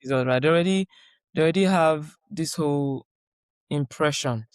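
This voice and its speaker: A woman guest in a conversational interview, reflective and calm, repeating her key phrases for emphasis. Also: sits low, normal speed, clean tone, no room sound, clean studio sound. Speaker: guest, doctoral researcher.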